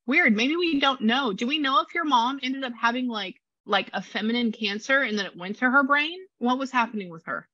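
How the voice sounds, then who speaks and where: A woman with a low voice and a slight rasp, chatting through a spirit reading and passing on messages. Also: brisk, few pauses, bright, forceful tone, no echo, highs cut off. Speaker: psychic medium.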